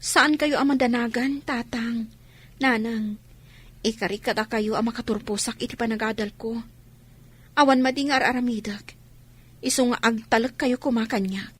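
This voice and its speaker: A woman's voice: on the high side, steady tone, moderate pace, long pauses, husky. A woman playing an earnest, dutiful young student with an Ilocano accent in a Philippine radio drama. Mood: earnest, reassuring.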